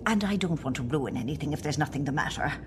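A woman's voice: low voice, lively intonation, quick pace, background noise. An Irish woman acting as a nervous, hesitant middle-aged wife in a radio play. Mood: worried. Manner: hesitant, polite.

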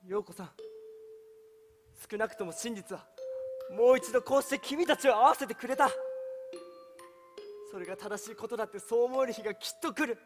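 An actor playing an idealistic young defence lawyer in a live stage play, with heartfelt theatrical delivery. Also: male voice, very high voice, normal speed, long pauses, music in the background.

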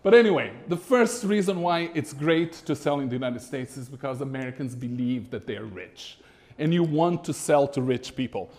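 A middle-aged man from Brazil giving a masterclass presentation to a live audience in a small room, animated and conversational with frequent fillers. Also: mid-range voice, moderate pace. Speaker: business consultant lecturer. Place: small lecture room with a screen.

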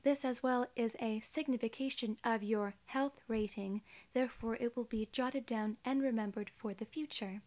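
A young woman playing a polite, clinical alien inspector in an ASMR role-play, soft-spoken, formal and soothing. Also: fairly high pitch, normal speed, hardly any pauses, lightly acted. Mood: formal, calm. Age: young adult. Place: small indoor room, seated.

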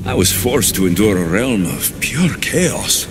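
An actor playing an earnest, brooding young warrior hero in a video game, speaking plainly. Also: male voice, fairly low pitch, very animated, measured pace. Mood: grave, reflective. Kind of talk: scripted video game cutscene acting. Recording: music behind dialogue.

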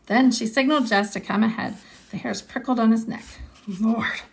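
A woman in her thirties reading a story aloud expressively like a teacher, pausing for short teaching asides. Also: on the high side, creaky, slightly rough. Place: small indoor room with classroom alphabet wall strip. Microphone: phone at arm's length.

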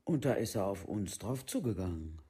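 Husky, mellow, worn-down family man. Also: fairly low pitch, lively intonation, normal speed. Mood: bewildered, subdued. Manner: earnest colloquial recounting with quoted dialogue. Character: worn-down, earnest relative of an alcoholic. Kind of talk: documentary radio play monologue.

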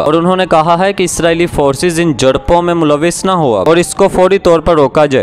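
A man's voice: on the high side, slight background noise. A man giving an earnest, indignant voice-over news commentary, putting rhetorical questions to the listener. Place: voice-over, not recorded at the pictured scenes.

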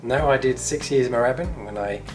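A man's voice: medium pitch, brisk, faint music behind. A man in his thirties coaching and explaining informally as a controller and instructor, recorded in an indoor room. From United Kingdom. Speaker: air traffic controller and instructor. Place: indoor tower simulator room.

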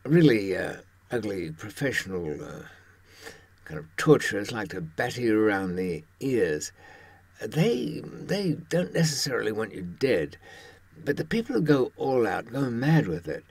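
A man in his seventies speaks in a low, husky voice with lively swings of pitch. He talks in a wry, conversational way, often pausing to find the words. The sound is close and dry. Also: dry recording. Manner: wry, halting, with pauses for thought. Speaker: presenter talking from personal experience. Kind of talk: conversational monologue to camera.

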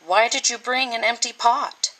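A woman's voice: on the high side, normal speed, sharp tone, rough recording. A woman voicing a very old, dignified and fair-minded emperor in a children's storybook. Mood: questioning.

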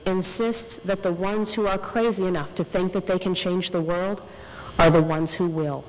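A young woman giving an earnest, measured inspirational speech as a faculty speaker at a podium microphone. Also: medium pitch. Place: large indoor ceremony hall, on stage. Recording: reverberant hall with clear background noise.

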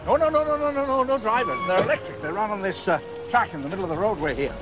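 An actor playing a jovial, elderly, proud park owner in a game cutscene. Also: male voice, high voice, expressive, quick pace, over music. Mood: excited, proud. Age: older. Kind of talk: film dialogue in a game cutscene.

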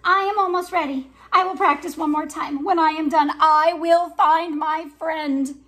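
A tiny young T-rex from a storybook, read aloud by a woman. The voice is high, earnest and determined. Each simple sentence is set out with care. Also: steady tone, fairly bright tone. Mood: eager, determined. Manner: simple, careful, deliberate storybook phrasing.

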